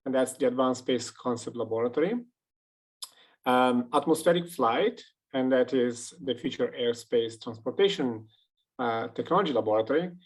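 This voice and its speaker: A man with an Italian accent giving a webinar lecture as a professor, explaining his slides in a measured way over a video call. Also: medium pitch. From Italy. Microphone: computer mic on a video call.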